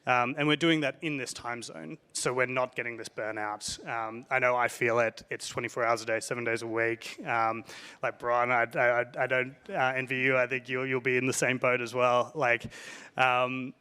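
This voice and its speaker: A man's voice: mid-range voice, level tone, normal speed, bright, forceful tone, clear, resonant tone, in a large hall. A man speaking casually on a panel discussion, conversational with frequent fillers and emphatic points.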